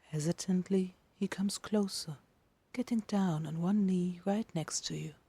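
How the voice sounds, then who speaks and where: A woman narrates fiction in a low, mellow voice with noticeable creak. Her dramatic reading is hushed and intimate, with deliberate phrasing. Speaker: podfic narrator.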